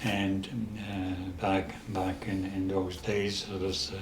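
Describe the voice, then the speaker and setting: Slow, deep-voiced older Dutch-accented man. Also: completely flat delivery, roomy sound, slight background noise. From the Netherlands. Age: 80s. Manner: halting, with many restarts and pauses. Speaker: interview guest. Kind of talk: storytelling in a casual interview.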